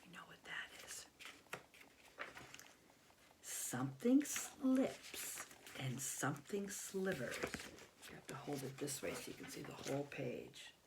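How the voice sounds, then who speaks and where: Low-voiced, unhurried American woman reading to children. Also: in a whisper. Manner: expressive, simple explanations with frequent questions to the listener. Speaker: teacher reading a picture book to children. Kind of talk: children's read-aloud with asides to young listeners. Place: small bedroom at home, indoors.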